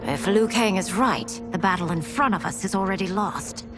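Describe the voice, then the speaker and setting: A woman's voice: mid-range voice, normal speed, lightly acted. Firm warrior woman, voiced by a woman, urgent, music under.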